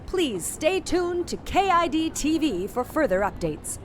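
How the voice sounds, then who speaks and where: Field news reporter female actor: self-important, high-pitched, mock live broadcast over faint background noise. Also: very animated, a little slow. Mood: breathless, self-important.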